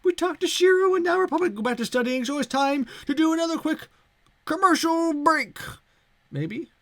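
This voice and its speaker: A man's voice: very high voice, expressive, moderate pace, fairly bright tone, dry recording. A man hosting a visual novel let's play, reading the story aloud with casual, playful asides. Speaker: gaming video host.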